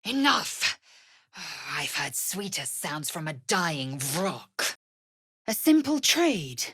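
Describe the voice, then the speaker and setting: A fierce alien warrior woman from a fantasy video game, husky and breathy. She speaks in measured, clipped phrases full of disdain, and her pitch swings widely from low to sharply raised. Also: big pitch swings, fairly bright tone, dry recording, studio quality, heavily acted. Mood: scornful, fierce. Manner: clipped, imperious, disdainful delivery. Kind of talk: video game character voice lines.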